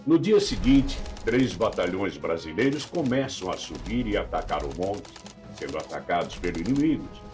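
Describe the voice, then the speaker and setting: Deep, dramatic Brazilian male narrator. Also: sing-song, moderate pace, a little mellow. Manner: solemn, dramatic, rhetorical delivery. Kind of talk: scripted documentary narration. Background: background music.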